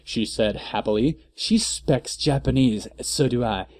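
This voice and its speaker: A man's voice: medium pitch, unhurried, darkish tone, dry recording. A man hosting a comedic dramatic reading of fan fiction, exasperated and stumbling over words, with asides.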